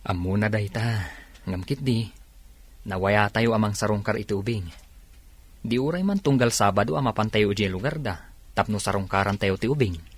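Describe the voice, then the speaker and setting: A man acting an attentive, gently reassuring husband in an Ilocano radio drama, with a Filipino Ilocano accent. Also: fairly low pitch, moderate pace, slightly rough. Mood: explaining.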